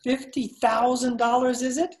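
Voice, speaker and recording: A woman's voice: a little high, little pitch movement, moderate pace, a little mellow, close to an everyday voice. A woman playing a polite, evasive mob lawyer in an old-time radio crime drama, formal and a little hesitant. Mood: flustered. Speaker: amateur radio play actor. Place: at home, recorded over video call.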